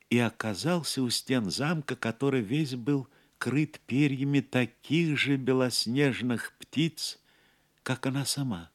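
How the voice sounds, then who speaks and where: A man narrating a legend as a scripted audio play, in a measured, literary and theatrical manner. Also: medium pitch.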